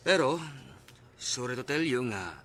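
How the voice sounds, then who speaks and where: A man's voice: mid-range voice, big pitch swings, a little slow, a bit bright. A Filipino man with a Cebuano accent playing a serious, matter-of-fact doctor in a radio drama. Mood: grave, informative.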